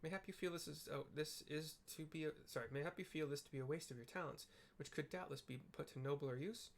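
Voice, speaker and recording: Man voicing young male fantasy game diplomat, eloquent, formal, wordy, somewhat high voice, noisy background. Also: fast. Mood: persuasive, formal. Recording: clear background noise.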